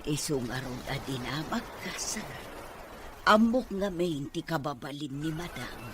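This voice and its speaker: A man's voice: fairly high pitch, animated, measured pace. A man acting a deferential, inquisitive employee in a radio drama, with a Filipino accent. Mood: cautious, worried. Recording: noisy radio drama with ambient sound.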